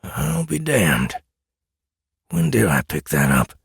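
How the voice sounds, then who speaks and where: A man's voice: lowish voice, wildly swooping pitch, slow pace, gravelly, breathy edge, no room sound, full character voice. Guy doing a cranky folksy old man for a fantasy audiobook, stubborn wisecracker, grumbly, dry sound. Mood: baffled. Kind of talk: fantasy audiobook character acting.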